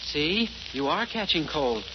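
A man's voice: a little high, sing-song, measured pace. An actor playing a naive, eager, kind-hearted young American man in a 1940s radio comedy style. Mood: gentle, kindly. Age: young adult. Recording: muffled, noisy old radio broadcast.

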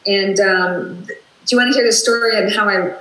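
A woman's voice: mid-range voice, fairly even intonation, brisk, a bit bright. An older woman, an author and fitness instructor, talking earnestly in a casual interview over a video call from her home office. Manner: chatty, earnest, frequent restarts. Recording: echoey room.

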